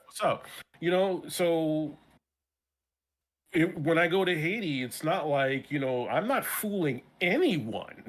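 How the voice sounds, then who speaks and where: Lively American man with a New York accent. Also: fairly high pitch, slow pace. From Brooklyn, New York. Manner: animated, repeats words, frequent restarts. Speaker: guest. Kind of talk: casual interview chat.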